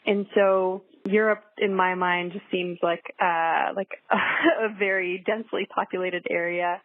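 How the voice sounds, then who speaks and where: A woman's voice: on the low side, fairly even intonation, unhurried, dry recording. Young woman, chatty, lots of fillers, dry tinny phone-like sound. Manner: chatty, many fillers and restarts, laughs easily. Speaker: guest pilot. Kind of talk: casual podcast conversation.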